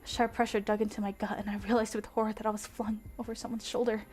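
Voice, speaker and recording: A woman streamer reading a visual novel aloud with expressive narration and casual commentary. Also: medium pitch, fast. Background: music.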